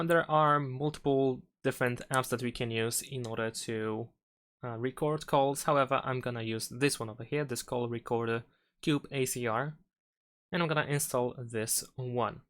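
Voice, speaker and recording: Clear-voiced man giving a tutorial. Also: medium pitch, normal speed, a bit bright. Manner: instructional, unscripted step-by-step delivery. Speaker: tutorial host. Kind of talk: step-by-step spoken phone tutorial.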